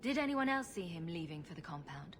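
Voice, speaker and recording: An actress playing a young rogue hero who talks in a dry, sarcastic way. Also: female voice, lowish voice, sing-song, fairly quick, slight background noise.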